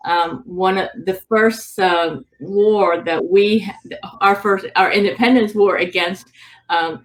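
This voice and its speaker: A woman's voice: medium pitch, a bit bright, low-quality recording. A woman from Cuba who speaks English. She answers hesitantly, with many ums, over a video call. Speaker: guest. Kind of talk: conversational interview answer.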